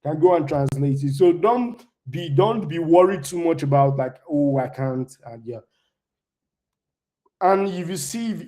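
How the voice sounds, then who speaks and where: A man's voice: on the high side, warm tone, poor audio. A young man from Nigeria, whose first language is not English, gives a loose, conversational live demo talk and keeps tagging his points with "right?". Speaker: conference speaker.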